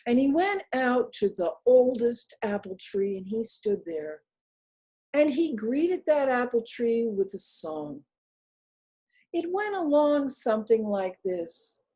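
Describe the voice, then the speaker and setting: A woman telling a folktale aloud in an expressive, deliberate manner with emphatic pauses. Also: on the high side, breathy edge. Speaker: storyteller. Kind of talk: live oral folktale storytelling, dramatic.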